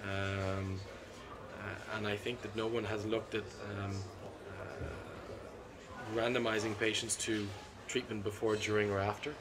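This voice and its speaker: A middle-aged man, a cardiologist being interviewed at a conference, talks in a measured, analytical way with frequent ums and restarts. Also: lowish voice, level tone, background noise. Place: seated at a conference booth indoors. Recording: constant conference hall ambience.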